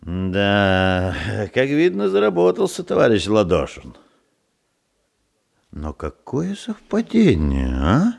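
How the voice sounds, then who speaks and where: An actor in a radio play voicing a flustered, eager-to-please middle-aged head of a youth society, muttering and exclaiming in a husky voice. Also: male voice, fairly low pitch, wildly swooping pitch, takes it slowly, darkish tone, heavily acted. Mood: obliging, amused. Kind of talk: theatrical Soviet radio drama acting.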